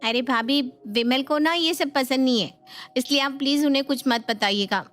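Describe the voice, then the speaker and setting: A woman's voice: high voice, moderate pace, a bit bright. A woman voicing a breezy, evasive young wife in a family storybook, with a glib, pleading way of talking. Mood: pleading, placating. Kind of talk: dramatised Hindi family storybook. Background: music.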